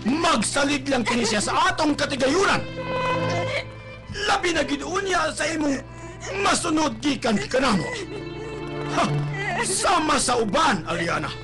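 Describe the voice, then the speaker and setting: Raging, snobbish Filipino father, high-pitched. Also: expressive, a little slow, pauses often. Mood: contemptuous. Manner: theatrical, scornful, bellowing outbursts. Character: domineering, snobbish, wealthy father raging at his daughter. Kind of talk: melodramatic radio drama acting. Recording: live stream with loud music bed. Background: loud dramatic music.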